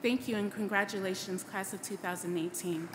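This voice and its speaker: A woman's voice: medium pitch, fairly even intonation, a crowd in the background. A young woman in her late teens from Ghana, giving an earnest, formal scripted graduation speech at a podium microphone. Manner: earnest, formal, measured oratory. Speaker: graduating student speaker. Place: large auditorium stage at a podium. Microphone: podium microphone on a boom stand. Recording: echoey hall PA, loud background noise.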